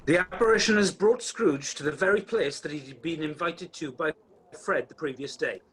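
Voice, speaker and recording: A man's voice: fairly high pitch, normal speed, a bit bright, slightly rough. A man acting as the storytelling narrator of an amateur Christmas radio play, reading a script. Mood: descriptive. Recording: noisy home video-call recording.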